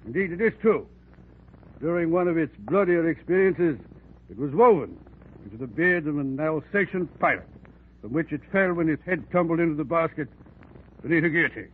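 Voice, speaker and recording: An actor playing a pompous, wordy criminal mastermind with florid, formal manners in a 1940s radio drama. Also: male voice, on the high side, lively intonation, brisk, husky. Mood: grandly reciting. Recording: muffled, noisy 1940s radio recording.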